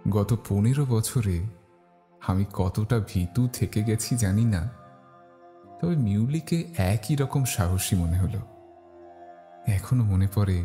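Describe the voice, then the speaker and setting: A man's voice: fairly low pitch, dark tone. A man narrating a scripted horror story in the first person.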